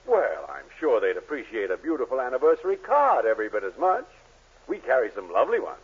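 A man's voice: mid-range voice, wildly swooping pitch, fast talker, breathy edge, full character voice. A man playing a pompous, tight-fisted drugstore owner in a 1940s radio comedy, avuncular and exclamatory. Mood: smooth, persuasive. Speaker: sitcom actor. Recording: muffled, hissy 1940s radio recording.

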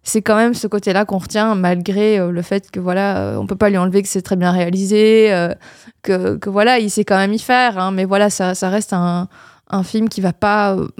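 A woman's voice: mid-range voice, fairly even intonation, moderate pace, no room sound, clean studio sound. A woman with a Belgian French accent, casually reviewing films as a podcast co-host, hesitant with many fillers and restarts. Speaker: film critic co-host. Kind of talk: casual film review chat.

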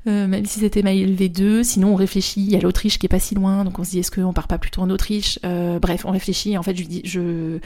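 A woman's voice: medium pitch, flat delivery, fast talker, hardly any pauses, dark tone. A young woman from France telling a personal story in a casual, rambling way, with slang, fillers and restarts. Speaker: spiritual therapist and vlogger.

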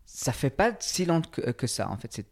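A man's voice: mid-range voice, sing-song, moderate pace. A man with an English accent, a fitness coach interviewed in French, speaking hesitantly with many fillers and restarts. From England. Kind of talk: casual interview, halting French.

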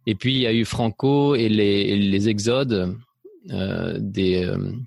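A man's voice: on the low side, unhurried, gravelly, darkish tone, no room sound, studio quality. A middle-aged man from Spain with a mixed French accent, talking about his life in a hesitant way, full of fillers and restarts. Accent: mixed French, slight Belgian. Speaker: interview guest. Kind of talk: reflective personal interview.

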